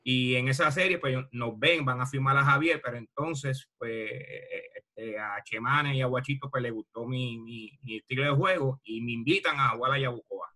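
A man's voice: mid-range voice, normal speed, hardly any pauses, sharp tone. A middle-aged man from Puerto Rico with a Puerto Rican accent, reminiscing casually on a video call as a retired ballplayer. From Bayamón, Puerto Rico. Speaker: retired baseball player, interview guest. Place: video call from a room at home.

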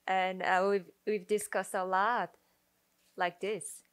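A woman's voice: medium pitch, level tone, takes it slowly. A woman MC wrapping up a forum session, upbeat and ceremonial in tone. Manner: upbeat, ceremonial, with short laughs. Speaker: event MC. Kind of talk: event announcing.

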